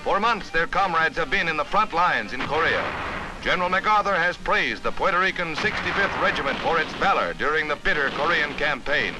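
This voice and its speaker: A man's voice: on the high side, animated, a little fast, rough recording. An American man narrating a 1950s newsreel in a formal, emphatic, dramatic announcer's delivery. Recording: vintage newsreel soundtrack with music.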